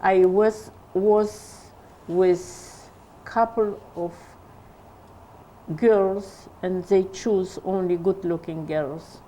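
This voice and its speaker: An older woman, giving an oral history interview, halting and searching for English words. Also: medium pitch, steady tone, dark tone, a little hiss or hum, poor audio.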